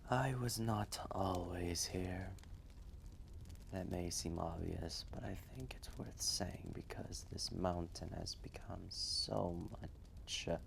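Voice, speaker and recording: A man voicing an ancient, gentle, wistful dragon telling a fireside roleplay story in a soft, breathy, measured and gravelly voice. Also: sits low, a little hiss or hum, heavily acted. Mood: nostalgic. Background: quiet fireplace ambience.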